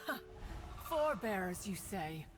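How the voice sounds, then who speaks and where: Imperious ancient lady sage, snooty game cutscene voice, music clearly behind. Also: mid-range voice, big pitch swings, slow. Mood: haughty. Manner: pompous, scornful, archaic phrasing. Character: haughty, imperious female immortal bird sage. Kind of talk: scripted game cutscene acting.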